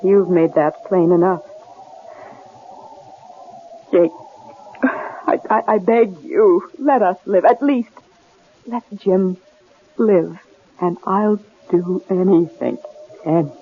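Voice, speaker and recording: An actress playing a desperate, devoted wife, pleading and halting, in old-time radio drama style. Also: female voice, lowish voice, narrow, tinny audio. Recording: thin, noisy old radio broadcast.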